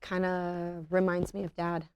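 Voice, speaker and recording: A woman's voice: fairly low pitch, level tone, measured pace, darkish tone, clear, resonant tone. A woman at a comedy table read playing a hesitant, wistful daughter in her early twenties. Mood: hesitant, low-key. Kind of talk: table reading of a comedy script.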